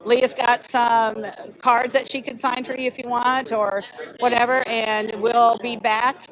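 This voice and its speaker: A woman's voice: on the high side, little pitch movement, unhurried, poor audio. A woman hosting chatty live interviews in a rambling, encouraging way. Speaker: interview host. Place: booth at a busy indoor trade show. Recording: busy crowd noise behind. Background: crowd chatter.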